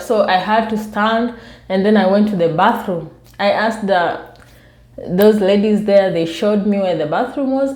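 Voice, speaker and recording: Soft-spoken Kenyan woman, mellow and roomy. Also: medium pitch. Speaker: YouTube vlogger. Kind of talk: casual storytelling to viewers. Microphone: newly bought external microphone.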